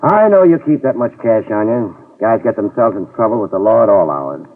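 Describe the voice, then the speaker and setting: A man's voice: fairly low pitch, animated, a little fast. A man playing a hardboiled private investigator who wisecracks and narrates in 1950s radio drama style, on an old recording. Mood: wry. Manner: dry wisecracking delivery. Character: hardboiled male private eye, wry and tough. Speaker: lead actor and narrator. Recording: tinny, distant old radio transcription.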